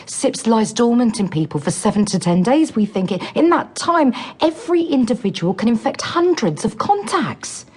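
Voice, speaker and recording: A woman's voice: fairly high pitch, very animated, moderate pace, few pauses, darkish tone, husky. A woman acting in a radio drama as a driven, frustrated infectious disease doctor, speaking insistently and articulately. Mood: urgent, insistent.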